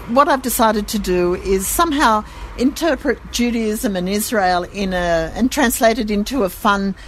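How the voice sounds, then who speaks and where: A woman's voice: medium pitch, rough recording. Middle-aged woman chatting about her shop, bit of background hum. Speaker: gift shop owner. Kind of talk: casual interview.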